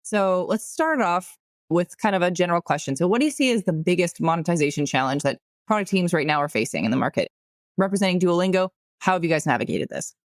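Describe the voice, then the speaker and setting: A woman's voice: on the low side, lively intonation, fast, no echo, studio quality. A woman hosting a podcast, upbeat and enthusiastic as she introduces a guest and asks questions. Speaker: podcast host. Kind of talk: scripted intro then interview questions.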